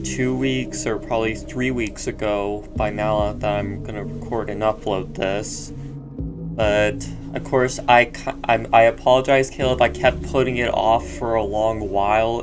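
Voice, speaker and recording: A young man giving casual reaction commentary, rambling with halting restarts. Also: lowish voice. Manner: rambling, halting, repeats words. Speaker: youtuber reacting to a video.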